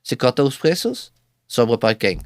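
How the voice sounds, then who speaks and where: A man speaking Portuguese as a second language, a guest telling his business story in a halting way, with many repeated false starts. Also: medium pitch, very animated, no room sound, clean studio sound. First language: not Portuguese. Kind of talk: casual interview storytelling.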